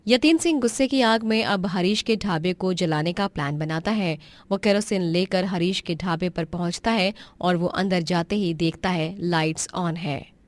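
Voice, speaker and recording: A woman's voice: fairly low pitch, moderate pace, dry recording. A woman storyteller giving a scripted narration of a moral tale.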